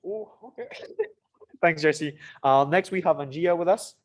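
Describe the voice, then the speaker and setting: Young male student moderator from Mauritius, slightly high pitch, informal and a little hesitant. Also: a little slow. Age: young adult. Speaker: student presenter and panel moderator. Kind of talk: informal online presentation, hosting. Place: online video call.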